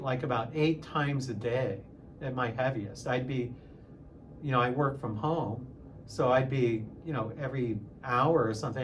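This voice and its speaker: A man of sixty talking casually in a personal vlog, halting at times and repeating phrases for emphasis. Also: mid-range voice, slight background noise. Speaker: music teacher and channel host.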